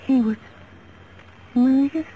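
A woman's voice: high voice, slow pace. An American woman playing an anxious young fashion model under suspicion, earnest and defensive in 1950s radio drama style. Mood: defensive, pleading. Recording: dull, faintly noisy 1950s radio recording.

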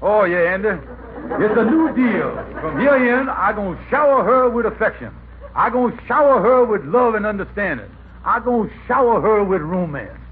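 An actor playing a blustering, scheming middle-aged husband with grandiose, folksy speech in an old-time radio comedy. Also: male voice, high-pitched, big pitch swings, normal speed. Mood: grandly determined. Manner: grandiose, folksy nonstandard grammar. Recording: tinny, noisy old radio recording.

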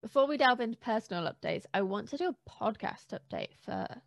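A woman's voice: a little high, lively intonation, fairly quick, creaky, dry recording, studio quality. A woman co-hosting a podcast in casual, conversational chat. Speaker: podcast co-host.

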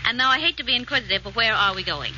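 An actress playing a detective's witty, sardonic young sweetheart, with dry playful quips and an American accent, in 1940s radio drama style. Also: female voice, fairly high pitch, expressive, very fast, background noise, close to an everyday voice. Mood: dryly sardonic. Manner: dry, playful, arch quipping. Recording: muffled old radio recording with music.

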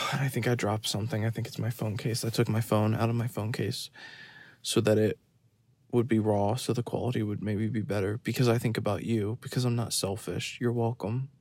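A man giving a rambling, deadpan and self-mocking running commentary from a parked car, recorded on his phone. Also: fairly low pitch, brisk, dry recording. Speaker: podcast host. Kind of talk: rambling off-the-cuff monologue. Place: parked car in a store parking lot.

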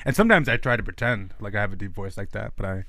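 A man's voice: lowish voice, animated, very fast, a bit bright. A man hosting a casual sports podcast, eager and self-deprecating, who stammers and restarts his sentences often. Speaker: podcast host.

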